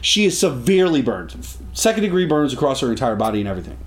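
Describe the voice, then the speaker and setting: Fast-talking joking male host. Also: medium pitch, very animated. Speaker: podcast host. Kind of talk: casual radio-style chat. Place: recording studio.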